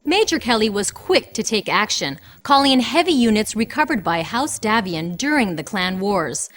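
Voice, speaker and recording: A woman's voice: medium pitch, very animated, moderate pace, a bit bright, slight background noise. An actress playing a serious, composed female news anchor reading scripted reports in a game.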